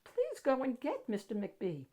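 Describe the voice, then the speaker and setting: A woman's voice: sits high, huge pitch swings, measured pace, warm tone, pitched above the performer's own voice, heavily acted. A woman voicing a prim, composed head librarian, kindly but strict, in a storybook reading. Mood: prim, commanding.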